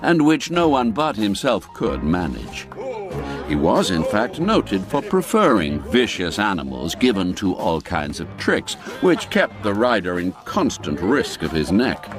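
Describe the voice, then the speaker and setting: A man narrating a classic literary tale in a theatrical, savoring storybook style with drawn-out phrasing. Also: on the low side, huge pitch swings, over music.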